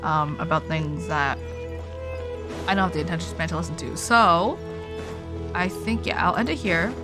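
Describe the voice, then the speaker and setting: A woman's voice: on the low side, moderate pace. A tired woman livestreamer chatting casually while gaming, informal and rambling, often trailing off. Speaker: live streamer. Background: game music.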